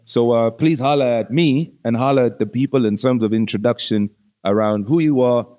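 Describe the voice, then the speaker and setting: A man's voice: mid-range voice, moderate pace, warm tone. A man hosting a podcast, chatting casually with a guest in slangy, familiar language. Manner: uses slangy, familiar address like "bro". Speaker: podcast host interviewing a musician. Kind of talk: casual conversational interview.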